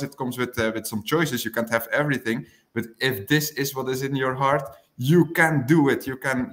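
A man's voice: medium pitch, a bit bright. Man speaking earnestly. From Belgium. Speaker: guest panelist. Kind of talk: earnest unscripted panel talk. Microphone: video call.

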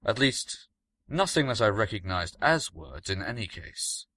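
A man reading a scripted gothic story as narrator, in a measured, dramatic manner. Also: lowish voice, big pitch swings, sharp tone.

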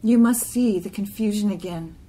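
A woman's voice: mid-range voice, moderate pace, mellow, slightly rough, poor audio. A woman reading a literary poem aloud as part of a group reading. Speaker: poetry reader.

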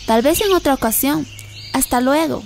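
A woman acts out a young lady in a colonial folk tale. Her voice is high, polite and evasive. Birdsong and clear background noise surround her. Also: lively intonation, moderate pace. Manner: polite, brushing off. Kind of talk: acted dramatization of a folk legend.

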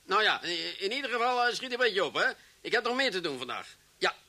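An actor playing an impatient, blustering Dutch police chief in a radio play, halting and exasperated. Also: male voice, sits high, expressive, noisy background.

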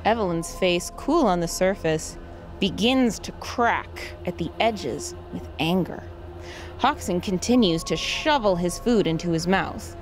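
A woman's voice: lowish voice, lively intonation, a little slow, faint music behind. A woman host giving scripted dramatic narration of a story.

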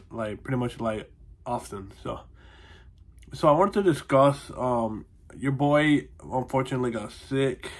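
Twenties guy with a cold, stuffy-nosed and chatty, recorded on a close phone mic at home. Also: mid-range voice. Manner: rambling, heavy on fillers and "honestly". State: congested, nasal from a cold. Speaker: vlogger. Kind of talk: casual vlog update. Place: small room at home, indoors. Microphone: phone or webcam close to the face.

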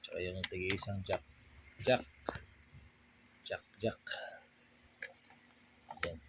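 A Filipino man talks through a product install in a casual vlog style. His voice is on the low side and very slow, coming out in informal, piecemeal remarks. Clear background noise sits around the recording. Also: fairly even intonation. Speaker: vlogger demonstrating a product install. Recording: clearly noisy ambient background.

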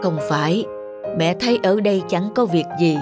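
A woman voices an elderly, lonely grandmother from the countryside in a cartoon drama. Her voice sits fairly low, gentle and subdued, with clear music underneath. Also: normal speed, close to an everyday voice. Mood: sad, subdued. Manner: homely, apologetic, subdued. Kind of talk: animated family drama acting.

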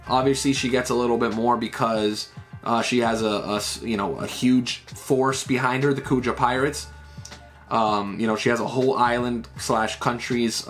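A man in his thirties, chatting loosely through a comic chapter at a middle pitch. He fills his talk with "like" and "right?" tags. Faint music plays under his voice in a small room at home. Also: fairly bright tone. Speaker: manga reviewer. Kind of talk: casual breakdown of a comic chapter.

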